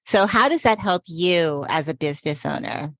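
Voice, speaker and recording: A woman's voice: fairly low pitch, expressive, moderate pace, dry recording. A woman hosting a podcast interview, opening with a scripted introduction and then chatting with her guest in an enthusiastic, gushing way. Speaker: podcast host, self-care coach.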